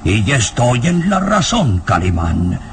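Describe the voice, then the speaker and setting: Nervous old professor, swooping wildly. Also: male voice, mid-range voice, very animated. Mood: secretive, excited. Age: older. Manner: agitated, exclamatory, halting. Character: nervous, secretive elderly male professor. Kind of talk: old radio serial acting. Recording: noisy old radio serial recording.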